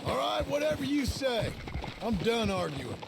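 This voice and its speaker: An actor voicing a scrappy, irritable younger American outlaw cowboy with a gravelly voice in a western video game. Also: male voice, very high-pitched, sing-song, unhurried, noisy background, heavily acted. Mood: irritated.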